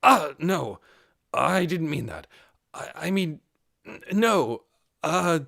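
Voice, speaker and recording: A man's voice: on the high side, very animated, slow, gravelly, fairly bright tone, dry recording, studio quality, pitched above the performer's own voice, exaggerated voice. A man voicing an earnest male lizardman traveler and warrior in an audiobook, informal, plain-spoken and easily flustered. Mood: flustered, panicked.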